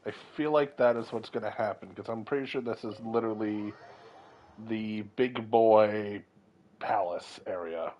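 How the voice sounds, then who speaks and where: A man's voice: on the low side, measured pace, lo-fi sound. A man giving casual reaction commentary as he streams a story-driven video game.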